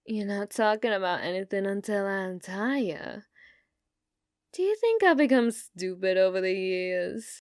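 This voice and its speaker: Woman acting a slow-talking, teasing bounty hunter woman. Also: medium pitch, no echo, clean studio sound. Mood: taunting, commanding. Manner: slow, taunting, sultry, often dropping into whispers. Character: dominant, teasing, possessive yandere female bounty hunter. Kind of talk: ASMR roleplay acting.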